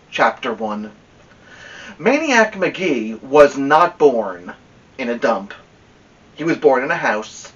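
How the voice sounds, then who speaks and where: A man reading a children's novel aloud as an expressive storyteller, with dramatic pauses and drawn-out emphasis on key words. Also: a little high. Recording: dull lo-fi sound, distant from mic.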